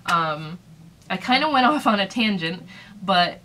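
A woman's voice: medium pitch, animated, a little slow, bright, forceful tone, a little hiss or hum, low-quality recording. A woman in her thirties giving casual advice about running a small shop, chatty and rambling, her throat dry from a recent illness, recorded on a webcam in a small room. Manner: chatty, rambling, lots of fillers. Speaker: small business owner vlogger.

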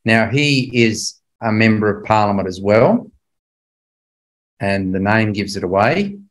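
A man's voice: on the low side, animated, a little slow. An older man giving a Bible class history lecture in a conversational, emphatic way, often putting rhetorical questions to his listeners.

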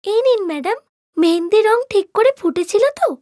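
A woman's voice: extremely high pitch, lively intonation, normal speed, warm tone, no room sound, clean studio sound, voice pushed up. A woman voicing a poor young girl in a cartoon story, polite, eager and deferential. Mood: welcoming.

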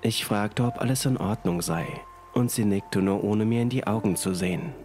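A man's voice: lowish voice, normal speed, darkish tone. A young man reading a scripted first-person horror story as narrator. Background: music.

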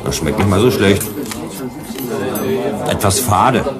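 A man's voice: lowish voice, animated, slow, over a noisy crowd. An actor playing a suave, probing male visitor who teases and flirts in a German science-fiction radio drama. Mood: charming, curious. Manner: teasing, questioning, ironic.

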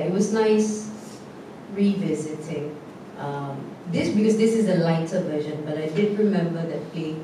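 Brief: reflective woman, actor on stage panel, far from the mic, roomy hall. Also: low voice. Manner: reflective, laughs often. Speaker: actor on a post-show panel. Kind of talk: casual panel chat. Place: indoor theatre stage. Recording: echoey theatre, distant mic, noisy.

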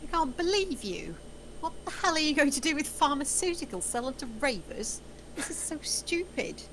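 A woman's voice: high voice, very animated, moderate pace, a bit bright, full character voice. Skeptical woman acted out over noisy audio. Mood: indignant. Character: skeptical, exasperated woman pulled into a crime scheme. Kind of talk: screenplay table read.

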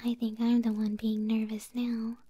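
A woman voicing a possessive, doting young moth-girl girlfriend in an ASMR roleplay, speaking softly, breathily and tenderly, close to the microphone. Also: a little high. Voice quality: soft, breathy, whispery. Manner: slow, tender, teasing. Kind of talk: ASMR audio roleplay, intimate soft speech.

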